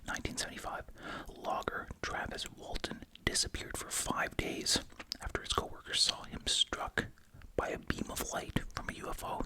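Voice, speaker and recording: An ASMR host whispering close to the mic while chewing gum, reading aloud with casual, musing asides. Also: poor audio. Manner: informal, musing asides in a hushed voice. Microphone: close ASMR microphone.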